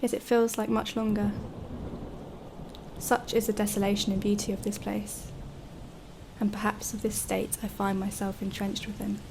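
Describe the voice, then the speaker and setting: A woman's voice: medium pitch, level tone, normal speed, creaky, pauses often, background noise, close to an everyday voice. An actress playing an anguished, brooding young woman writer in a radio drama, speaking in solemn, formal literary monologue. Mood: desolate, brooding. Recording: faint music under the voice.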